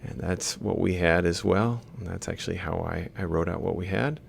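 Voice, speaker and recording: A man's voice: normal speed, creaky. A man giving an informal lecture as he works through an example, thinking aloud step by step and correcting himself. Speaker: robotics lecturer. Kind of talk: informal worked-example lecture, explaining while drawing. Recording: faint background noise with low rumble.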